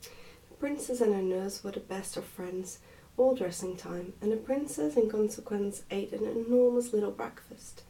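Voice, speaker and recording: Soft mellow woman doing asmr story reading, bit of creak, almost whispery, faint noise. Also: mid-range voice, normal speed, far from the mic, poor audio. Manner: soft-spoken, often near a whisper. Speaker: ASMR reader and host.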